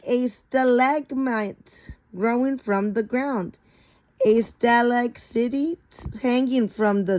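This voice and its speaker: Slow-paced woman reading a storybook aloud. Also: fairly high pitch, darkish tone, low-quality recording. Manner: halting, repeats and corrects words while reading. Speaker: reader of a children's picture book. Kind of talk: read-aloud of a children's science storybook.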